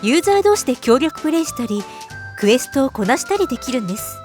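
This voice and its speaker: A high, melodic schoolgirl voice acted by a woman for an anime drama. She is a proud, serious gamer who speaks formally with a haughty edge. Music sits behind her. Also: fast. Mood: smug. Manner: polite formal speech, deadpan, slightly haughty. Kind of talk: Japanese anime-style voice drama acting.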